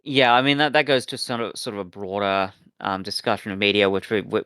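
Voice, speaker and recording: A man co-hosting a review podcast, chatting casually in a halting way, often doubling back on his words. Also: on the low side, fairly even intonation, bright, forceful tone, clean tone, no room sound. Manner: halting, repeats himself, sweary.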